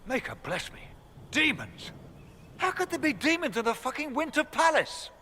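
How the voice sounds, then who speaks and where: An indignant mercenary captain from a fantasy game. He is blunt and exasperated, his voice bright and forceful with big swings in pitch. It rings with a long reverb. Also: very animated, normal speed, a little hiss or hum, heavily acted. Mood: alarmed, indignant. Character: gruff, foul-mouthed Ferelden mercenary captain, indignant. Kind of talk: video game voice acting.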